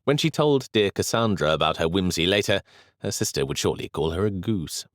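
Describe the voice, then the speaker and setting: Male narrator for a historical novel audiobook, low voice with lively intonation, dry studio sound. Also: no room sound. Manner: measured, expressive storytelling.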